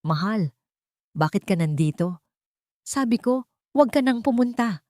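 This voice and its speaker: A woman's voice: medium pitch, expressive, unhurried, darkish tone, dry recording, clean studio sound, voice pushed up, lightly acted. A woman voicing a deceitful young man in an audio drama, hesitant and placating as he makes nervous excuses. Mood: startled. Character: evasive, deceitful young male fiancé, nervously surprised.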